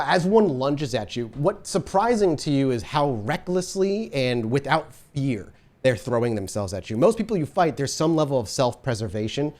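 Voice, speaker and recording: A man's voice: mid-range voice, a little mellow. A man running a tabletop horror game as storyteller, narrating the action vividly and explaining the rules patiently to his players.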